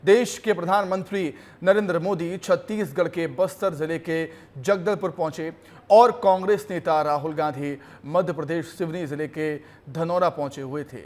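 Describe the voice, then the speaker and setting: A man's voice: on the high side, moderate pace. A man presents television news in an emphatic, declarative, scripted anchor style.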